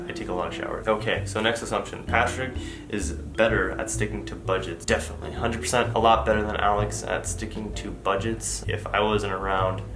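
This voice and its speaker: A young man whose first language is Tagalog, with a slight Filipino accent, chatting casually to camera as a vlogger with lots of fillers and restarts. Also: sits low. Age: young adult. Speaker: vlogger answering viewer assumptions. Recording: echoey room with music underneath.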